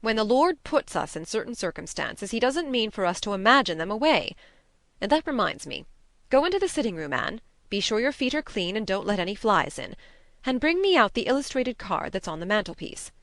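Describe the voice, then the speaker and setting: Woman acting older spinster, stern, no-nonsense, animated pitch, dry close sound, brisk, disapproving, storybook character. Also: dry recording. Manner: brisk, disapproving, plain-spoken. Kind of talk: audiobook character reading.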